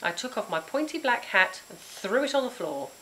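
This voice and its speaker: A woman narrating a children's storybook in an expressive, dramatic and emphatic way. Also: fairly high pitch, moderate pace, slight background noise, low-quality recording. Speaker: author reading their own book.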